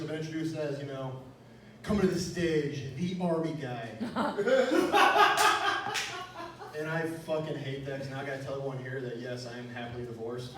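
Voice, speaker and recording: A man's voice: fairly high pitch, lively intonation, moderate pace, long pauses, a bit bright. A young man from Wisconsin performing a stand-up comedy set, telling crude, self-mocking jokes with a lot of "you know". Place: small club stage, echoey room. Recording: echoey room, distant mic, faint noise.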